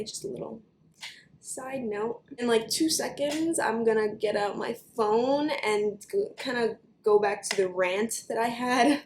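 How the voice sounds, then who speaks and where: A young woman with a New York accent, ranting to camera for her vlog in an animated, chatty way with plenty of "like". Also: on the high side, echoey room, slight background noise. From United States. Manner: animated, fast, chatty with many likes. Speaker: beauty vlogger.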